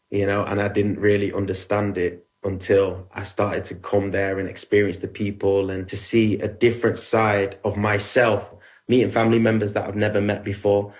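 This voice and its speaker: Young English footballer, earnest and reflective in interview, lowish register. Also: male voice, darkish tone. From England. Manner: reflective, heartfelt. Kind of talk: interview answers.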